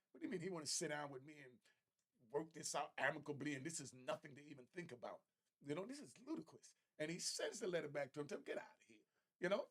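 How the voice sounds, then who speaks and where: A man's voice: on the high side, creaky. A man giving casual, opinionated street-talk commentary in a blunt, argumentative, repetitive way. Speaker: host, reaction commentator.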